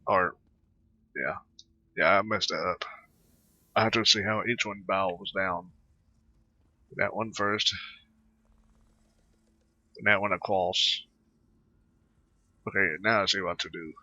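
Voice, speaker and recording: A man giving casual, halting gameplay commentary, muttering to himself as he plays. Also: low-pitched, fairly even intonation, pushed and bright, dry recording. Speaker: gaming video host. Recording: dull lo-fi microphone.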